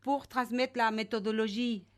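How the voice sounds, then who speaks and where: High-voiced woman with Chilean Spanish accent. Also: fairly even intonation, moderate pace. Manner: hesitant non-native phrasing, searching for words. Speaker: interview guest, image coach. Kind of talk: conversational radio interview.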